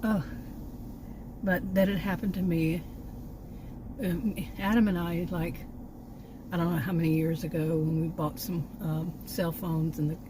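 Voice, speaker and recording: A fairly low-voiced woman from Texas, speaking with a Texan accent, rambles on in a chatty vlog monologue, stopping to correct herself and filling gaps with "um" and "you know." She is recording outside near a stretch of woods, and steady outdoor noise runs under her voice the whole time. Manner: rambling, chatty, with frequent fillers and self-corrections. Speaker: channel host.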